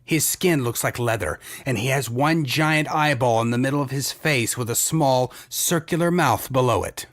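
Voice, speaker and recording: A man's voice: mid-range voice, moderate pace, few pauses. A man giving scripted, dramatic story narration for an audio drama.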